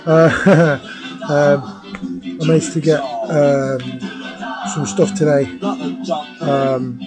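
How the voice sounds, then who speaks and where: Slightly hoarse man, thirties, casual webcam chat, lots of ums and uhs, music playing loud in the room. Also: fairly high pitch, steady tone, slow. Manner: rambling, full of ums and uhs. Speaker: vinyl collector vlogger. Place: small room at home.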